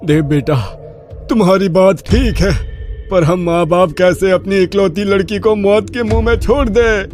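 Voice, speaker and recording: A man's voice: sits high, steady tone, unhurried, darkish tone, faint music behind, pitched above the performer's own voice. A man voicing an anxious middle-aged parent, frantic over a child in a horror cartoon story. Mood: worried, determined.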